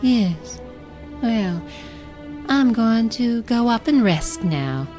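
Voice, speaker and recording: A woman's voice: fairly high pitch, very animated, drawn-out words, dark tone, heavily acted. An actress in an audio drama playing a middle-aged wife and mother, weary and guarded, with a wry restraint. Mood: coolly probing. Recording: music under the dialogue.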